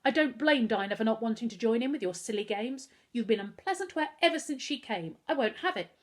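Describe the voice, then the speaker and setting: A woman voicing a stern, fussy mother in a children's story who scolds briskly. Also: medium pitch, very animated, a bit bright, slightly rough. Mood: scolding.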